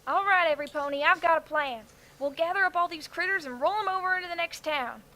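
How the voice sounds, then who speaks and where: A woman's voice: very high voice, fast, bright, forceful tone, slight background noise. A woman voicing eager, brash female ponies in a cartoon parody, emphatic and pushy. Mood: commanding.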